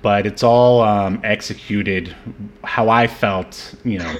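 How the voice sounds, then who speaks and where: A man's voice: on the low side, takes it slowly. A man co-hosting a film podcast, chatting casually in a hesitant, rambling, joking way. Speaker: podcast co-host reviewing a film. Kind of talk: casual film-review chat.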